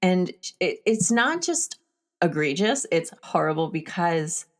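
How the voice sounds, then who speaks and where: Woman podcast host w a low voice, chatting casually, candid and informal. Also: sing-song, a little slow. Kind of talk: casual film discussion chat.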